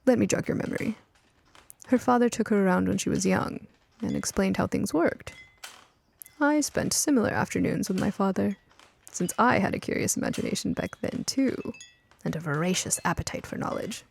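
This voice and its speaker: A woman voicing a kindly older lady in a storybook, gently amused and inquisitive. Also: mid-range voice, animated, moderate pace, gravelly, slightly rough, exaggerated voice. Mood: reminiscing. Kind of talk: acted audiobook dialogue.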